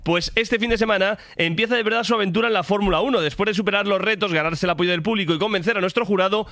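A man's voice: high-pitched, rapid-fire, a bit bright, no room sound. A man reading a scripted sponsor announcement in an upbeat, polished delivery.

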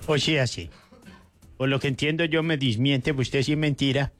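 A man's voice: medium pitch, steady tone, normal speed, faint music behind. A man with a Paisa Colombian accent co-hosting a radio show with slangy, folksy commentary. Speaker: radio co-host. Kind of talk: casual chat commentary. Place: radio studio.

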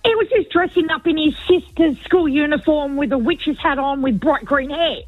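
A woman's voice: high-pitched, normal speed, soft background music. A middle-aged woman with an Australian accent, chatting casually as a caller to a radio phone-in over a tinny phone line. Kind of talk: casual personal chat.

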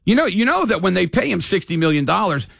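A man's voice: high-pitched, sing-song, fast, gravelly, a bit bright, no echo, clean studio sound. An older man hosting sports talk radio, rambling and opinionated in a casual way.

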